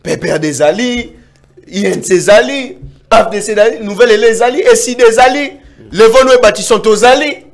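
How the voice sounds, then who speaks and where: A man arguing about politics in a heated debate, emphatic and sometimes nearly shouting. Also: extremely high pitch, brisk. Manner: emphatic, rising to near-shouts when making points. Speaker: political commentator.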